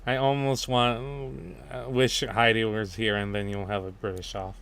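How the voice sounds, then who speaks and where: A man's voice: fairly low pitch, level tone, unhurried, vocal fry, fairly bright tone, clear, resonant tone. A man streaming as he works, chatting casually. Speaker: streamer working on a comic dub. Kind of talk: casual chat while working.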